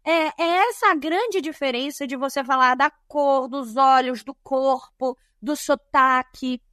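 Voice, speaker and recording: High-voiced Bahian woman, dry sound. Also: measured pace, bright, forceful tone, no room sound. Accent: Bahian Brazilian Portuguese. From Bahia, Brazil. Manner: emphatic and didactic, lists points. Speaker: guest, diversity and compliance specialist. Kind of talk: podcast interview, explanatory.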